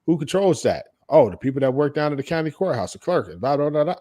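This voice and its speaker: A man's voice: a little high, big pitch swings, quick pace, warm tone. An American man hosting a casual podcast chat, speaking in a blunt, salty-tongued way. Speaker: podcast host.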